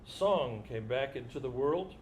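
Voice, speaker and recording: A man's voice: mid-range voice, animated, measured pace, darkish tone. A man performing as a reader in a staged literary dramatization. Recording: live stage recording with background noise.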